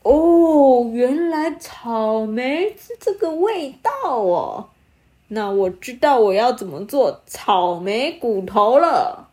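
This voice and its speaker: A woman voicing a naive, good-natured soup shop owner in a bedtime story, with exaggerated wonder and drawn-out words. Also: fairly high pitch, very animated, a little mellow, pitched above the performer's own voice. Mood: pleased, kind.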